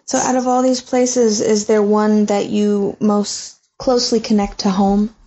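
Lady podcast host, mellow voice, reads intro then short questions, dull audio. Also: medium pitch, flat delivery, unhurried. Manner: reads introduction, then brief encouraging prompts. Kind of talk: interview hosting. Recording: muffled lo-fi audio.

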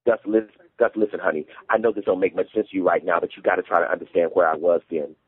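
A man's voice: low-pitched, fairly even intonation, fast talker, breathy edge, dry recording, narrow, tinny audio. A man voicing a distraught Southern wife and mother who pleads and whines in rural dialect. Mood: pleading.

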